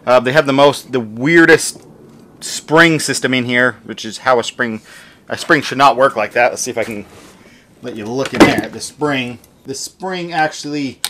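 A man's voice: medium pitch, fairly bright tone, a little hiss or hum. A man, casually reviewing tools in his garage workshop, talking practically and thinking aloud with fillers. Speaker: garage DIY channel host. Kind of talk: casual hands-on product review. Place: cluttered home garage workshop.

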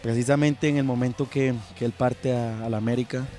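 A footballer reminiscing about his career in a relaxed interview. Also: medium pitch, a little fast, faint music behind. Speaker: teammate, footballer. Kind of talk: interview answers.

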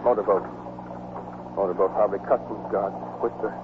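An actor playing a steady, devoted American man in a plain, understated manner, in 1940s radio drama style. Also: on the low side, a little fast, background noise, natural voice. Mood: tense, hushed. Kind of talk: 1940s radio drama acting and first-person narration. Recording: muffled 1940s radio recording with music.

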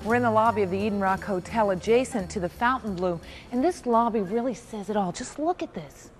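A woman's voice: mid-range voice, a little fast, soft background music. A woman hosting a travel show, asking her guide questions in an inviting, conversational way. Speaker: host. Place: outdoors on a beach boardwalk, later a hotel lobby.

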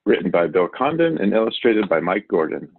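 A man giving an expressive, theatrical read-aloud of a children's storybook. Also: deep voice, a little fast, vocal fry, slight background noise. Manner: animated, theatrical delivery. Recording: narrow, phone-like audio.

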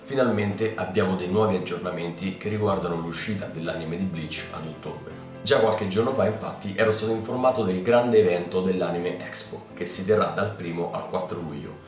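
A young man from Italy presenting anime news to his viewers in a chatty, excitable way, recorded at home on a camera mic. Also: sits low, normal speed, few pauses. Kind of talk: casual news vlog. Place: home room with keyboard and lamp. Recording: roomy, slightly distant mic with faint music.

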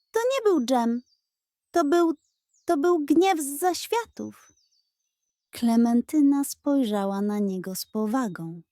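A woman narrating a children's story in an expressive, scripted storytelling style. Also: a little high, dry recording, studio quality.

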